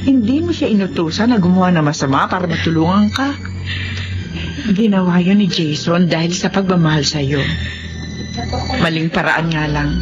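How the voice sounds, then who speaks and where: An actress playing a sympathetic friend who earnestly consoles and reassures in a radio drama. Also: female voice, lowish voice, lightly acted. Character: consoling, reassuring female friend of grieving mother. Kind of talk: Tagalog radio drama acting. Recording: muffled radio drama with loud music.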